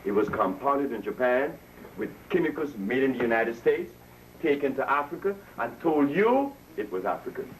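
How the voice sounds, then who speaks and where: A man's voice: mid-range voice, animated, moderate pace. A man in his seventies giving an impassioned lecture to an audience, preaching and drawing call and response from listeners. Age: 70s. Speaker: speaker addressing an audience. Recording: distant mic, faint room noise.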